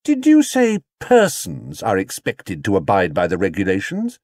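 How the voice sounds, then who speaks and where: A man's voice: low-pitched, huge pitch swings, normal speed, darkish tone, no room sound, clean studio sound, heavily acted. A man voicing an innocent, plucky young girl in a storybook, sweet but firm in manner.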